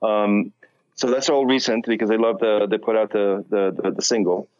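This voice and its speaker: Lowish guy with a slight accent, muffled lo-fi sound, rambles and restarts a lot. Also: steady tone, moderate pace, darkish tone. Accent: light non-native accent. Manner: rambling, many restarts and fillers. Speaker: musician guest. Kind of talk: casual interview chat.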